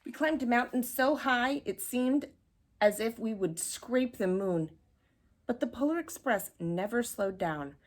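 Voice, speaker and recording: A woman reading a children's storybook aloud in an expressive narrating style. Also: a little high, measured pace. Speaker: storybook reader.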